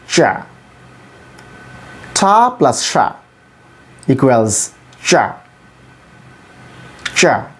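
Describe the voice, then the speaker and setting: A man teaching English pronunciation in a deliberate, repetitive instructional way, sounding out individual sounds. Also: medium pitch. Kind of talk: instructional pronunciation lesson to camera or screen.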